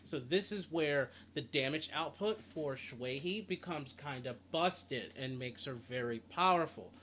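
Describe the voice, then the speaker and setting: A man's voice: fairly high pitch, expressive, unhurried, bright, forceful tone. A man giving a casual video game guide, explaining and reading out stats as he goes. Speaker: gaming guide creator. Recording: clear background noise, game ambience. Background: game sounds and ambience.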